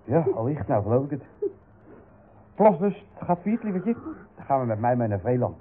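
Easygoing cheeky youth, animated, husky, radio drama, narrow-band recording. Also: male voice, medium pitch, moderate pace. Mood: wry. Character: cheeky, easygoing young man, radio-strip hero.

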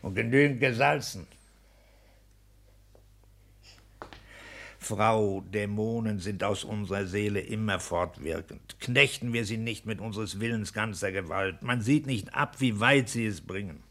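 An actor in a 1960s German radio play playing a petty, tyrannical civil servant husband, pompous, pedantic and self-pitying in a declamatory manner. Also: male voice, fairly low pitch, moderate pace, few pauses, fairly bright tone. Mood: subdued, grumbling.

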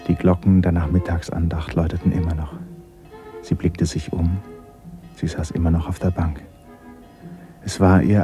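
A man with a very deep, dark voice narrates a literary radio play. His delivery is measured and scripted, with music faintly underneath.

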